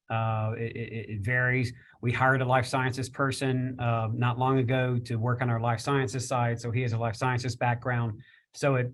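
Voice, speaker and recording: Rambling middle-voiced male panelist. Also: mid-range voice. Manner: rambling, many restarts and repeated words. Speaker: panelist from a business chamber. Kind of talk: casual panel discussion.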